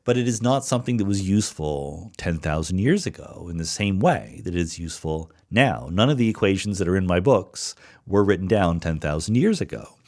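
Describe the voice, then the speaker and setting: Deep-voiced man, lively explaining tone. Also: fairly quick. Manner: thinking aloud, conversational, with fillers and self-corrections; reads listener questions aloud. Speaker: podcast host answering listener questions. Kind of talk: solo Q&A podcast, explaining science.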